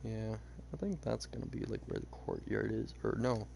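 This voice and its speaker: A young man chatting casually while he plays a game, rambling with lots of fillers, his voice a bit hoarse. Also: on the low side, normal speed, dark tone, a little hiss or hum. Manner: rambling, hesitant, many fillers. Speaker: streamer. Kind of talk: casual gameplay chat.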